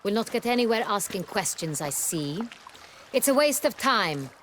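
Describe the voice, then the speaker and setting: An actress playing an imperious, exacting ruler of noble birth in a video game, speaking in a commanding, haughty and formal manner. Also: female voice, mid-range voice, big pitch swings, moderate pace, a bit bright, a little hiss or hum. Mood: scornful, accusing.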